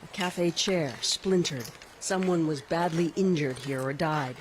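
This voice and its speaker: A woman's voice: low-pitched, a little slow, a little hiss or hum, low-quality recording. Grave woman correspondent reporting. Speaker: foreign correspondent. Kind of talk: scripted news report narration.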